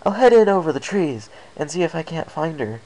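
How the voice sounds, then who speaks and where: A man voicing a brave winged pony, quick-thinking and protective, with an urgent, commanding manner in a storybook reading. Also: a little high, sing-song, darkish tone, pitched above the performer's own voice, rough recording.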